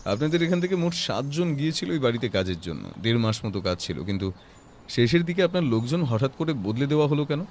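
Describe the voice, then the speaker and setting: A man playing a composed private detective who questions in a measured, insistent way in an audio story. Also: mid-range voice, sing-song, darkish tone, a little hiss or hum. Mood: probing, firm.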